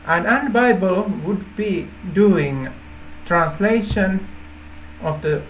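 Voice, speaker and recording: Male presenter, native Finnish speaker with Finnish accent; unhurried, hesitant explaining, light noise behind. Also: high-pitched, animated, poor audio. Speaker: app developer presenting a feature idea. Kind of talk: informal explainer to camera.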